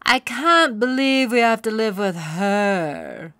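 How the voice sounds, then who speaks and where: A woman voicing Bunbun, a jealous, bossy pony, loud and scornful. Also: on the high side, animated, slow, no echo, voice pushed up.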